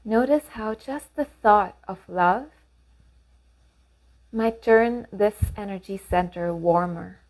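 A woman leading a guided meditation, giving gentle, measured instructions with pauses between phrases. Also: mid-range voice, rough recording.

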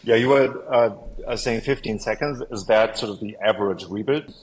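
Male interviewer, middle-aged, fairly deep, casual and halting, repeats words, on a video call. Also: rough recording. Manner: halting, repeats words while forming questions.

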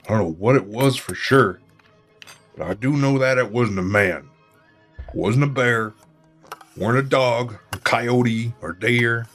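A man's voice: medium pitch, unhurried, long pauses, fairly bright tone, faint music behind. An actor playing a proud, plainspoken old small-town man, earnest and rattled, in an audio drama. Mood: earnest, shaken. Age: older.

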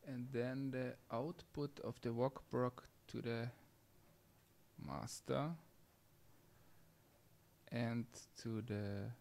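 Slow, creaky-voiced man giving a tutorial. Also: medium pitch, steady tone, a little mellow. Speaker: tutorial presenter. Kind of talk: step-by-step software demonstration. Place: indoor screencast voice-over.

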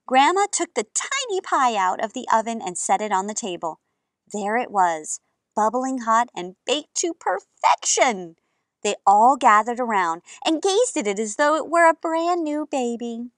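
A woman in her thirties hosting a children's storytime, narrating in an expressive, animated way. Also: fairly high pitch, measured pace, dry recording. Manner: animated, gesturing.

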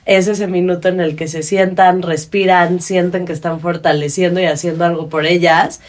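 A woman's voice: fairly low pitch, steady tone, normal speed. A woman in her thirties with a Mexican Spanish accent, hosting a casual podcast conversation at a studio microphone. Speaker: podcast host. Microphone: studio microphone on boom arm.

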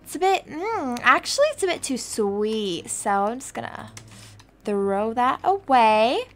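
Woman playing a breezy scheming mother, high voice. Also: very animated, a little slow, fairly bright tone, a little hiss or hum, exaggerated voice. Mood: idle, picky. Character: brazen, self-satisfied kidnapping birth mother, scheming and fussy. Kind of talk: improvised video game roleplay.

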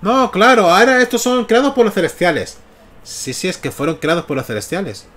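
Canarian streamer guy, fairly high voice, casual chatty comic talk on a headset mic. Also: animated, fairly quick, a bit bright. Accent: Canarian Spanish. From Canary Islands. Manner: chatty, often checks in with "¿vale?". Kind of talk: casual livestream commentary. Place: small room at home, indoors. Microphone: gaming headset mic.

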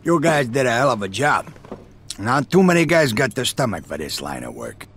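An actor playing a loud, middle-aged American mobster with an East Coast city accent, husky, chummy but menacing. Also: male voice, medium pitch, very animated, moderate pace, slight background noise. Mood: jovial, brash. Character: loud, profane middle-aged male mobster recruiting killers.

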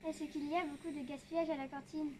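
A woman reading out prepared questions to a cook, soft and careful. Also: high voice, little pitch movement, quick pace, slight background noise. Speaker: interviewer.

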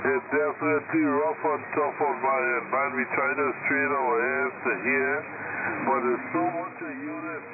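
A man making long-distance calls on a CB radio, repeating his phrases in radio call-and-response style. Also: fairly high pitch. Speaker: radio operator. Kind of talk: CB radio chatter. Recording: tinny noisy radio signal.

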